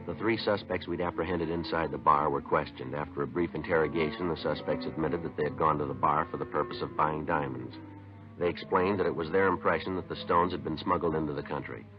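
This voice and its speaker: Hard-nosed detective sergeant, low pitch, rapid clipped speech, matter-of-fact, 1950s radio drama. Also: male voice, music in the background. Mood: deadpan. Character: terse, no-nonsense police detective sergeant, also narrating. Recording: dull 1950s radio recording.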